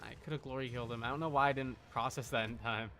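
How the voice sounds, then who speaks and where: A man's voice: mid-range voice, fairly even intonation, fast, pushed and bright, slight background noise. A man giving casual, offhand live commentary as he plays a video game, muttering to himself and playfully taunting enemies.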